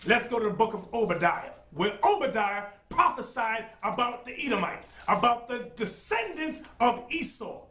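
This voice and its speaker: Man, high lively voice, fiery bible teacher, roomy off-mic studio sound. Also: moderate pace, hardly any pauses, far from the mic. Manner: shouts, repeats phrases, directs the reader. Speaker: preacher teaching from scripture. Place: studio set with dark backdrop.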